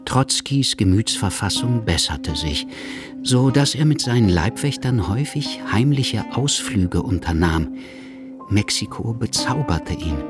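A man's voice: lowish voice, expressive, unhurried, over music. A man reading scripted literary narration for a German radio drama. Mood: measured narration. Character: literary narrator of an exiled revolutionary's life.

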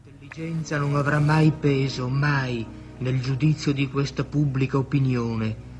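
A man reciting poetry in a solemn, grave and measured manner. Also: mid-range voice, fairly even intonation, darkish tone, soft background music.

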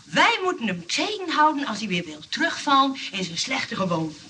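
Kindly, quick-fibbing nurse; bright, emphatic radio-comedy voice, slightly distant recording. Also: female voice, sits high, sing-song, slight background noise, exaggerated voice. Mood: urgent.

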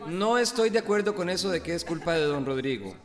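High-voiced earnest meeting chairman. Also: expressive, brisk. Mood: exasperated, firm. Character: earnest, fair-minded village meeting chairman. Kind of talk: radio drama acting. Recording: noisy crowd behind.

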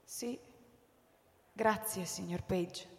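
A woman's voice: mid-range voice, very slow. An actress playing a distressed, bewildered woman who pleads, in a theatrical radio drama. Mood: stunned, subdued. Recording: reverberant hall sound.

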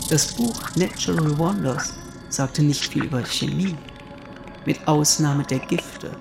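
A man's voice: fairly high pitch, slow pace, gravelly. An actor playing a cerebral male scientist in a radio play, stating his theories in a declarative way. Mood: coolly declarative. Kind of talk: scripted radio drama monologue. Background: loud music under the voice.

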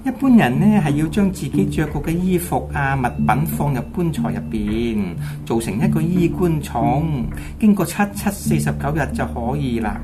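A woman playing a solemn Taoist master in a radio drama, lecturing with measured authority. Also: deep voice, animated, very noisy. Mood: instructing. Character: solemn, knowing Taoist master giving ritual advice. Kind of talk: Cantonese radio drama acting.

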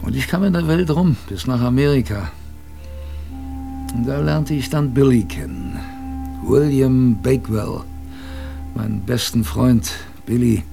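An actor playing a gruff but fond old sailor grandfather, telling his memories in a salty, colloquial radio-play manner. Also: medium pitch, warm tone. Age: older. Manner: colloquial, salty storytelling with musing pauses. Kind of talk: radio drama first-person narration.

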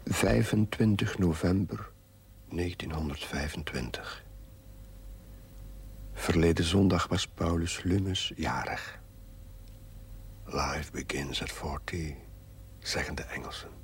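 A very husky, slow diarist. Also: male voice, deep voice, heavily acted. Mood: reflective. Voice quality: very husky, breathy. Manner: measured, formal. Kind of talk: scripted diary narration in a drama.

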